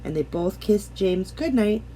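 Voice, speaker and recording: Slow, animated woman reading a bedtime story. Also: medium pitch, slight background noise, poor audio. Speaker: storybook reader. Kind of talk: expressive children's bedtime read-aloud.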